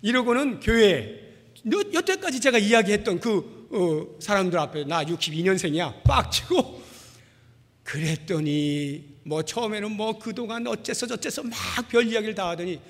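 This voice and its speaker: A man's voice: high-pitched, lively intonation, normal speed. A man in his forties preaching a sermon as a pastor, telling personal anecdotes in a conversational, self-deprecating way. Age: 40s. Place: large reverberant church hall. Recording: long hall reverb.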